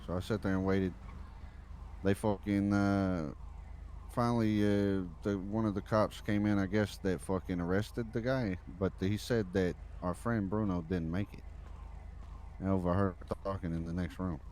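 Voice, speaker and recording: A man acts a gruff New York mobster in a crime roleplay. He has a deep voice and rambles with plenty of cursing. Faint noise sits behind him. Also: steady tone, moderate pace, a little mellow, natural voice. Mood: grumbling. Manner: profane, rambling. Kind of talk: improvised crime roleplay on a livestream.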